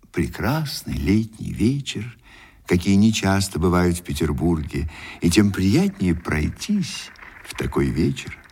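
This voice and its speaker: An actor playing a confiding storyteller in a radio play, speaking to the listeners with courtly, old-fashioned expressiveness and tender pity. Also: male voice, low-pitched, a little hiss or hum, exaggerated voice. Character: confiding male storyteller, tenderly pitying naive young ladies. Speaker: narrator. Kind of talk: theatrical radio play narration addressed to listeners. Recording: radio broadcast with faint music behind.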